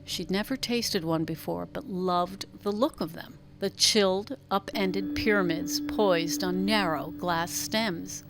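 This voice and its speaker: A woman's voice: fairly low pitch, slow, few pauses, rough recording. A woman reading a literary story aloud as an audiobook narrator, in scripted narration.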